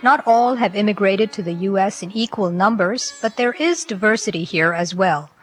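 A woman reporter reading scripted documentary narration in a measured, even delivery. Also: medium pitch, faint music behind.

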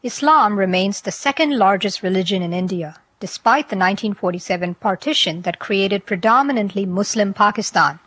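Woman, reporter, scripted narration, measured, mid-pitched voice. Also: fairly bright tone, breathy edge, dry recording.

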